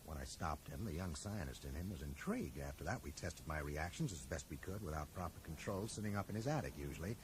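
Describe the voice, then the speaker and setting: An actor playing a level-headed, earnest bookshop owner in a radio drama, explaining things in a measured way. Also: male voice, rumbling low, lively intonation. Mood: earnest, reflective. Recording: mild hiss, 1980s radio drama.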